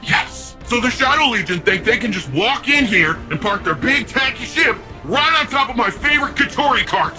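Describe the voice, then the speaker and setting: Big cheerful young hero dude in a video game, super animated, slangy and friendly, bit of music behind. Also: male voice, very high voice, moderate pace, few pauses, exaggerated voice. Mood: wistful, casual, then defiant, breezy. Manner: breezy, slangy, eager, friendly. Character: cheerful, cocky, big young male city defender.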